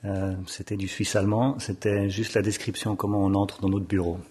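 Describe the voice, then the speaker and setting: A man giving a conference talk from a desk on stage in a lecture hall, often pausing and searching for his words. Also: fairly low pitch, little pitch movement, darkish tone, slightly rough. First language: French. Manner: hesitant, often searching for words with many fillers. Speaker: graphic designer giving a lecture.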